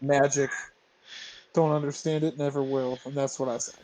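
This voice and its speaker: A man's voice: medium pitch, flat delivery, moderate pace, background noise. A man chatting casually as a player in a tabletop roleplaying game.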